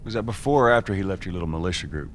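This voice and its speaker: An actor playing a gruff, cynical middle-aged male smuggler in a game, who talks tersely and dryly with a sarcastic edge. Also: low voice, lively intonation, very fast, slight background noise. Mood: wary.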